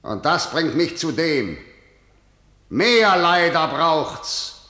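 A man's voice: fairly high pitch, big pitch swings, drawn-out words, bright, forceful tone, full character voice. A man playing a stern, imperious ruler in a German verse radio drama, declaiming harsh law with commanding authority. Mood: menacing authority. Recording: echoey hall with long reverb.

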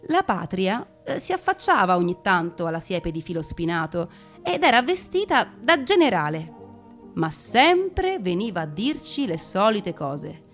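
Female narrator for dramatic memoir reading: solemn, deliberate, emphatic delivery, mid-range voice, faint music underneath. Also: medium pitch, big pitch swings, fairly bright tone. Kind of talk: theatrical scripted narration of wartime memoirs.

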